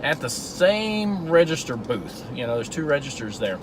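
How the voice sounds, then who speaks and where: A man's voice: mid-range voice, very animated, normal speed, background noise. Man talking while driving. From Georgia, USA. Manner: earnest, storytelling, with repeated restarts. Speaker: vlog host. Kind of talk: casual talk while driving. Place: in a car.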